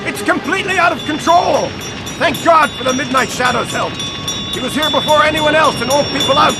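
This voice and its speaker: An actor playing an urgent fire chief barking orders in an old-time radio drama. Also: male voice, very high voice, fairly quick, over loud music. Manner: shouting, commanding.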